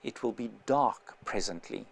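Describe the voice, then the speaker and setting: A secretive man leans in and mutters in a hushed, conspiratorial way, voiced by a male audiobook reader. The voice has a gravelly grain. The sound is dry and close. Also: fairly high pitch, very animated, unhurried, no echo, full character voice. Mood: furtive.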